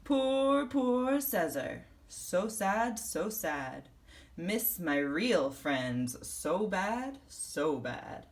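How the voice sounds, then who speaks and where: A woman's voice: mid-range voice, sing-song, extremely slow, heavily acted. A woman voicing a proud, dramatic young male iguana in a storybook, with an emphatic manner. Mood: mournful singing.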